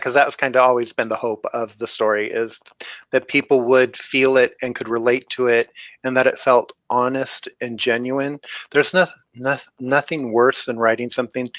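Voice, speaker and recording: Guy author on a podcast, average pitch, sincere, repeats stuff for emphasis, close dry sound. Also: fairly bright tone, dry recording. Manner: earnest, emphatic repetition. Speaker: guest author. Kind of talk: casual interview chat.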